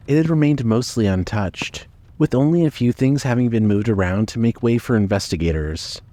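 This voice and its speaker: Casting: male narrator for scripted horror audio fiction, somewhat low pitch, storytelling delivery. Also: darkish tone.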